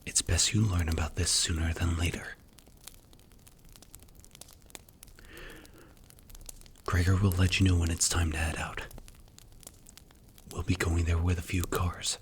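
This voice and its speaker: A man acting as a strict mafia boss with a low, soft, near-whispered voice. He is blunt, measured and commanding, in the close style of an ASMR roleplay. A faint hiss sits behind the words. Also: level tone. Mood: grave, lecturing.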